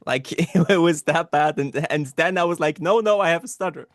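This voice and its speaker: A man's voice: fairly high pitch, moderate pace, dry recording. Man, support group host, stuttering restarts, dry close sound, video call. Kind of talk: casual group discussion.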